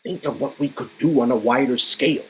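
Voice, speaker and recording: A man's voice: medium pitch, brisk, a little hiss or hum, lightly acted, low-quality recording. A man playing a grandiose, smug, absent-minded male inventor villain with a theatrical, stammering delivery in a dramatic reading of game dialogue. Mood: proud. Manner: theatrical, stammering, self-important.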